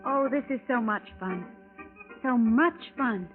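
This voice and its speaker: A woman's voice: a little high, measured pace, close to an everyday voice. A woman acting in a 1940s radio drama as an earnest, formal visitor from the future, with precise wording. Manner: precise, formal, avoids contractions. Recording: tinny vintage radio broadcast with music.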